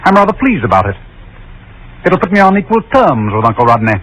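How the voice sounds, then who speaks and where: An actor playing a bitter, defiant young husband in 1940s radio drama, sardonic, intense and theatrical. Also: male voice, on the high side, big pitch swings, fast, a bit bright, slight background noise, poor audio. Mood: coldly menacing.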